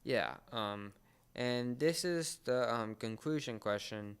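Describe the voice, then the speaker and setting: A young man co-hosting a casual podcast chat, speaking in a halting, rambling way and often repeating words. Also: medium pitch, clean tone. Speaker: podcast co-host.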